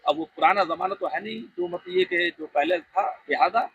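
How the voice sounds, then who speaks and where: Animated, bright, high-pitched male cleric. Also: normal speed, creaky, highs cut off, slight background noise. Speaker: cleric giving a press statement. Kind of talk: unscripted statement.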